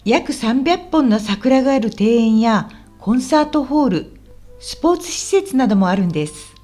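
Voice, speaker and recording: A woman acts out a friendly, helpful local guide in a radio drama for language learners. Her voice is high and very animated, with polite, clearly spoken explanations. Faint music plays underneath. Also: normal speed. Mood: explaining. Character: friendly, helpful woman guiding a visitor around Osaka.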